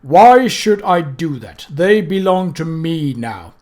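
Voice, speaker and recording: Death done by a guy, stern and slow, loud and looming, audiobook style. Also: on the high side, very animated. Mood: cold anger. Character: Death, towering cold skeletal lord, angry.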